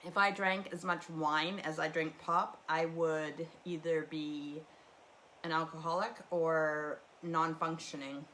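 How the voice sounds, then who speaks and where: A woman's voice: deep voice, flat delivery, takes it slowly, fairly bright tone, slight background noise, rough recording. A woman from the prairies, hosting a casual rambling livestream from home and chatting in a meandering way, full of fillers and restarts. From Canadian prairies. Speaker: livestream host.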